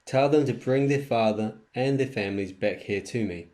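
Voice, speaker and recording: A man's voice: fairly low pitch, moderate pace, a little mellow, close to an everyday voice. A man playing a generous, commanding king who gives orders in an amateur scripture reading.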